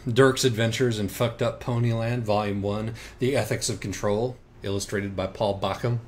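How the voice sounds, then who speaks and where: A man's voice: on the low side, steady tone, moderate pace, slight background noise, lightly acted. A man performing a cocky, sardonic, argumentative young man in audiobook dialogue. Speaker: audiobook reader.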